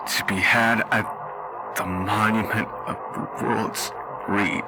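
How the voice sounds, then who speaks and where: A man voicing a grieving father in his thirties, a weary wasteland survivor, in a halting, dramatic roleplay monologue. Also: fairly low pitch, poor audio. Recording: background music under the voice.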